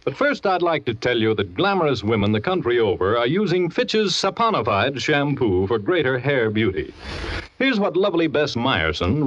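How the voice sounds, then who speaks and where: An American man announcing on 1940s radio in a polished, scripted salesman style. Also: medium pitch. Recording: dull old radio recording, faint music.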